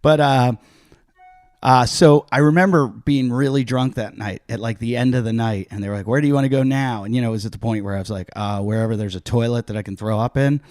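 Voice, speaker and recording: A man's voice: mid-range voice, quick pace, darkish tone, dry recording. This is a middle-aged man from Pittsburgh hosting a casual podcast. His voice sits in the middle range and sounds dry and close on the mic. He tells loose, self-mocking stories and jumps into short impressions of the people in them. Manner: rambling, self-mocking, acts out voices in anecdotes. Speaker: podcast host, comedian. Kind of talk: casual storytelling chat.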